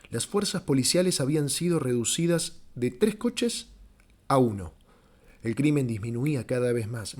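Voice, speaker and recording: A man's voice: on the high side, a little mellow. A man narrating a literary short story as an audiobook, in a scripted, dramatic storytelling style.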